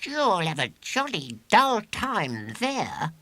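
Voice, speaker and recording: A man voicing an eager, bossy eleven-year-old schoolboy who leads a gang, in an excitable children's audiobook style. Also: sits high, slow, no room sound, pitched above the performer's own voice, heavily acted. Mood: scornful sympathy.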